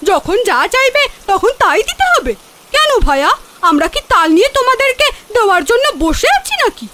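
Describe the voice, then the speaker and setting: A woman voicing a haughty neighbour wife in a cartoon, scolding with shrill sarcasm. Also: big pitch swings, fairly quick. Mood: scornful, mocking. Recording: loud rain noise, clicks.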